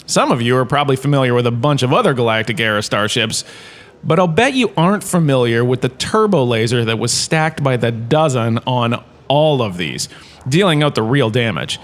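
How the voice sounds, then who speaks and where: An actor playing a self-satisfied, washed-up performer turned university lecturer, chatty and digressive in a comedic sci-fi audio drama. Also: male voice, medium pitch, animated, normal speed, a bit bright, natural voice. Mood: instructive, self-assured.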